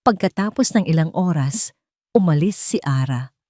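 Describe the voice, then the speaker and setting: A woman's voice: low voice, lively intonation, normal speed, mellow, dry recording, studio quality. A woman reading a scripted romance story aloud in an earnest, dramatic storytelling manner.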